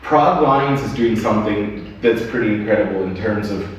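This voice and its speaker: A man hosting a livestream interview, asking questions in a brisk, friendly way. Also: lowish voice, distant mic. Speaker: livestream host. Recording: echoey room from afar, faint noise.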